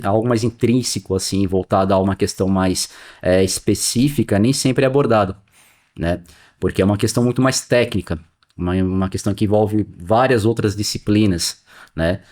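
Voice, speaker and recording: A lowish male voice with a São Paulo interior Brazilian accent, talking casually in his forties as a podcast guest. He explains things in a friendly, rambling way and keeps checking in with his listener. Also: a little mellow. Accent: São Paulo interior Brazilian Portuguese. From Sorocaba, São Paulo state, Brazil. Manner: friendly, explanatory, many filler tags.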